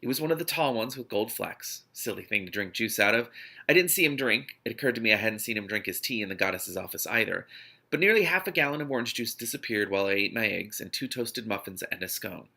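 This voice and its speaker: A man's voice: lowish voice, animated, fast talker, vocal fry, pushed and bright. A man reading a novel aloud expressively, breaking off into spontaneous amused and exasperated asides as host. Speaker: host of an online read-aloud series.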